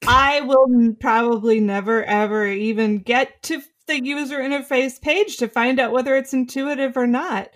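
Laid-back woman with a higher voice. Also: moderate pace, few pauses. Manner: relaxed, rambling, laughs often. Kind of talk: casual chat.

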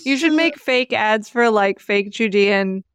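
A woman's voice: mid-range voice, measured pace, clean tone, dry recording. A woman who narrates sketches, talking casually and playfully among friends. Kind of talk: casual chat and playful reading.

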